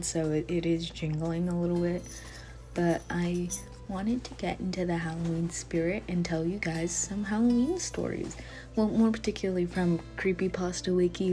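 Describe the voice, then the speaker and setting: A woman's voice: on the low side, dark tone. A woman getting over a cough, reading a horror story aloud at home in a casual, chatty way.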